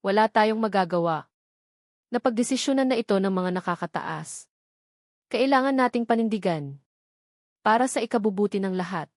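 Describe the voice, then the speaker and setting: A woman's voice: medium pitch, lively intonation, normal speed, pauses often, dry recording, studio quality, lightly acted. A woman voicing a cold, weary male lawyer in an audio love drama, curt and dutiful. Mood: resigned.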